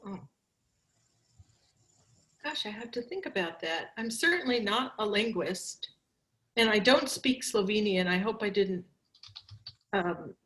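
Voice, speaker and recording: A woman poet whose first language is German, answering audience questions at a reading and pausing to search for her words. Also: mid-range voice, animated, fairly bright tone.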